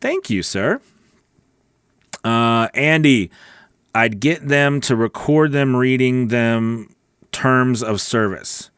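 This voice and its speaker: A middle-aged man hosts a casual question-and-answer stream in a conversational, direct way. His voice sits at an average male pitch. It is captured close on a broadcast mic in a small home studio, with very little room sound. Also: takes it slowly, pauses often, fairly bright tone, clear, resonant tone, no room sound. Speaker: host, voice-over coach. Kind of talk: casual livestream Q&A chat. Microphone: close broadcast mic on boom arm.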